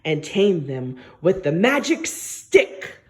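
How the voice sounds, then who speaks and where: A woman's voice: fairly low pitch, big pitch swings. Woman reading as a bold little storybook boy, emphatic. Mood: commanding. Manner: emphatic, drawn-out commands. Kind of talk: storybook read-aloud.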